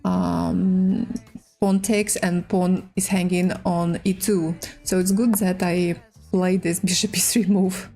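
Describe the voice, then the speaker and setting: A woman with a Russian accent, a native Russian speaker, hosting a casual chess livestream in halting, hesitant English. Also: lowish voice, level tone, vocal fry, mellow, soft background music. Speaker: livestream host and chess player. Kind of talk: casual livestream chat while playing chess.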